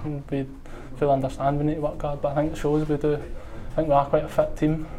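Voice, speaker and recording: Soft-spoken Scottish footballer, slightly high voice. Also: male voice, little pitch movement, quick pace. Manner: soft-spoken, modest, hesitant with fillers. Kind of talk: post-match interview. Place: indoors in front of a club sponsor board.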